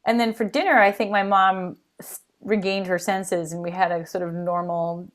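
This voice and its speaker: A woman talks as a podcast guest, telling stories in a quick, animated way. Her voice sits fairly low for a woman. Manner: animated, quick, laughs while recalling. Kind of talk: casual interview chat.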